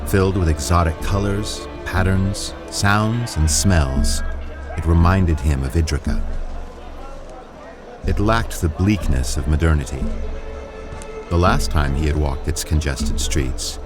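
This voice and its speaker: A man reading scripted audio drama narration in a measured, descriptive way. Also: a bass voice. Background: music.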